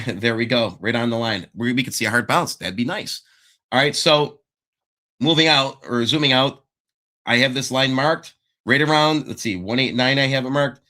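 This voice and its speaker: Guy going over stock charts on a stream, bright edgy voice, rambling and talking to the chat. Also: medium pitch. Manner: chatty, self-interrupting, checks the live chat. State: losing his voice. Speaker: stock market show host. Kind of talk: casual stock analysis livestream.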